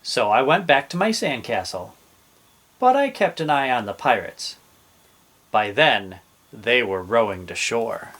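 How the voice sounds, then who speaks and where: A man reading a children's story aloud as an expressive storyteller. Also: medium pitch, a little slow, slight background noise.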